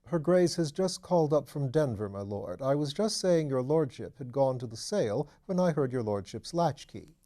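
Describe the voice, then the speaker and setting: A man's voice: medium pitch, expressive, normal speed, few pauses, dark tone, lightly acted. A man voicing a formal, well-trained manservant in an audiobook, stiff and very polite. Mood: formal, deferential. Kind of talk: audiobook character dialogue.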